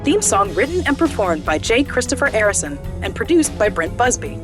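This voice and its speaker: An actress playing a formal robotic announcer, reading scripted audio drama credits to listeners. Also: female voice, on the high side, big pitch swings, a little fast. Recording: music behind the voice.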